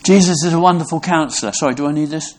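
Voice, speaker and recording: A man from Brixham, England, preaching a sermon in an earnest, halting way, often restarting his words. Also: fairly high pitch. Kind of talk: sermon to a congregation.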